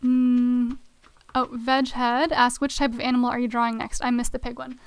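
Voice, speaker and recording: A woman's voice: a little high, level tone, normal speed, clear, resonant tone. A young woman streaming a video game, chatting casually and reading out chat messages, her voice a little worn. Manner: chatty, reads out chat messages, many fillers like "like". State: slightly losing her voice. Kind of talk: casual live-stream chat while gaming.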